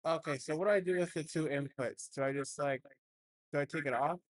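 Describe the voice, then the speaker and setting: A man's voice: on the high side, a little fast, dry recording. A young man chatting casually as he sets up a stream at home, rambling and hesitant with lots of fillers, speaking into a desktop mic. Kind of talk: casual chat while setting up a stream.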